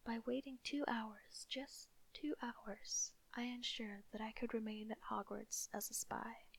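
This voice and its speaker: A woman whispering the lines of a cold, sardonic male wizard who speaks smoothly and deliberately, as in a quiet audiobook reading. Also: fairly high pitch, fairly even intonation, low-quality recording. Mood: cool. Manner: whispered throughout.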